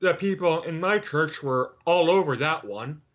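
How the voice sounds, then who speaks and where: Dull-sounding, higher-pitched man from Canada. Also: expressive, unhurried, fairly bright tone. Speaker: interview guest, author. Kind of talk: conversational interview. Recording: slightly dull lo-fi audio.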